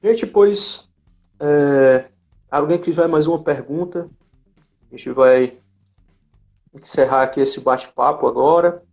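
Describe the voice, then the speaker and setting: A man with a noticeable fry in his voice hosts an informal online chat. He talks in halting, conversational phrases and often tags them with a small question. The audio is a little dull, like a compressed live stream. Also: fairly high pitch, a little mellow, faint music behind. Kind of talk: casual live interview chat. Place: remote live video chat on social media.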